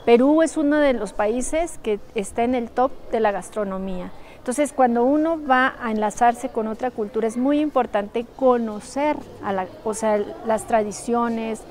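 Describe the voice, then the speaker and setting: A woman's voice: a little high, moderate pace, a little mellow. A woman giving informal interview answers, repeating phrases for emphasis. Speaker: documentary interviewee. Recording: clear background ambient noise.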